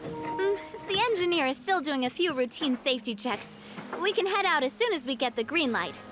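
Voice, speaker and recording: High, lively young lady leader. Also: moderate pace, music in the background. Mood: upbeat, assured. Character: cheerful, confident young lady leader, easygoing and frank. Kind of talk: video game voice acting.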